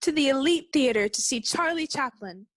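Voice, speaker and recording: Woman as a breezy, scoffing townswoman, higher voice. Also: expressive, no echo. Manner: brisk, scoffing, self-assured. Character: bold, dismissive young townswoman, unafraid and breezy. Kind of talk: 1940s radio play acting.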